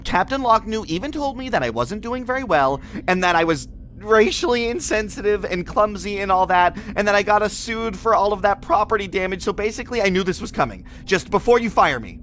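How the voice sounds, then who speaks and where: A man's voice: sits high, fairly bright tone. Audio drama rookie agent, male actor: insecure, eager, rambling, hugely swinging intonation, slight background noise. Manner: nervous, rambling, apologetic. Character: earnest, insecure rookie space agent, self-blaming but eager.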